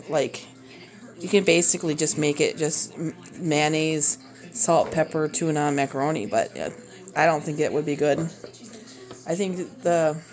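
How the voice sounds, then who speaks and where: A woman in her thirties with a Minnesota accent, chatting casually and a bit haltingly while she chops vegetables in her home kitchen, recorded on a propped-up phone. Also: low voice, darkish tone. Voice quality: rambling, hesitant with many fillers. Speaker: homeschooling mom and cooking vlogger. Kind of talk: casual cooking video. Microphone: phone propped up on the counter.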